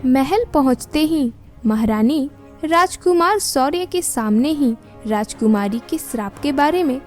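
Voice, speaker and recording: A woman's voice: high voice, lively intonation, a little slow. A woman reading scripted storybook narration as the narrator. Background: music.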